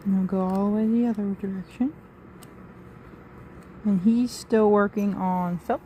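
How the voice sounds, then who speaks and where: Woman, medium pitch, casual step-by-step demo, thinking aloud, faint workshop noise. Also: fairly even intonation, a little slow, mellow. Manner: informal, thinking aloud while working. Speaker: co-host demonstrating assembly.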